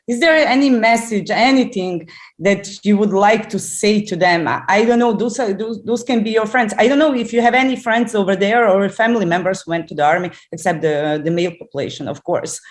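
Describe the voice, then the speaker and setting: Woman co-host, hesitant, rambling questions, interview. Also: medium pitch. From Bosnia and Herzegovina. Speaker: podcast co-host.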